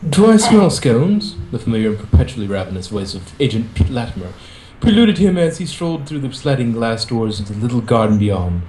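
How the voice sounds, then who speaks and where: A man's voice: medium pitch, sing-song, fairly quick, hardly any pauses, dark tone, echoey room, slight background noise, low-quality recording. A man reading a story aloud as an amateur and chatting casually, over an internet voice call. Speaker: participant in a group fan fiction reading. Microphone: internet group voice call.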